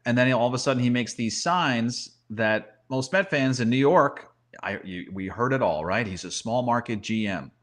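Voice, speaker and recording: A man's voice: mid-range voice, expressive, normal speed, a bit bright. A man giving opinionated, emphatic sports talk in a conversational way, often posing rhetorical questions. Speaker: sports commentator and guest.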